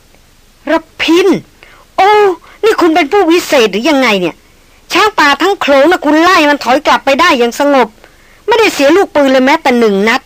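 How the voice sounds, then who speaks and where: A woman's voice: fairly high pitch, sing-song, a little fast, long pauses, a bit bright, dry recording, rough recording. A woman playing an eager young noblewoman. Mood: amazed. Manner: eager, breathless questioning.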